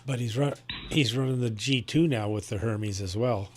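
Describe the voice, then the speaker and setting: A man's voice: mid-range voice, normal speed. A man chatting casually about technical gear over amateur radio, heard through a shortwave transmission. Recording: faintly noisy ham radio signal.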